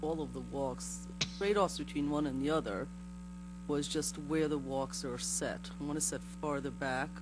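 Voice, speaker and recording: A hoarse man with a cold, a board member at a village meeting, asking rambling and apologetic questions. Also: on the high side, moderate pace, over loud music, rough recording. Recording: mains hum under the voice.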